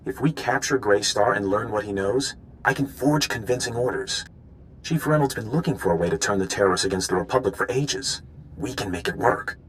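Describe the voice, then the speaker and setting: A man's voice: on the low side, brisk, a little hiss or hum, lightly acted, low-quality recording. An actor playing an earnest, scheming male undercover informant in a video game, speaking in an urgent, conspiratorial way. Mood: urgent, plotting. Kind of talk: video game dialogue acting.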